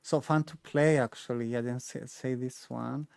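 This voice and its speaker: A man's voice: mid-range voice, fairly even intonation, moderate pace, gravelly, darkish tone, smooth, dry recording, studio quality. A man giving casual gaming commentary as a streamer, chatty and thinking aloud as he plays. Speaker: game streamer.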